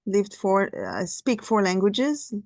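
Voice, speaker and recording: A woman's voice: medium pitch, huge pitch swings, a little slow, creaky, a little mellow, no room sound, studio quality. French-accented woman on a video call. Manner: friendly, candid, searches for words. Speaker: realtor. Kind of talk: casual meeting conversation.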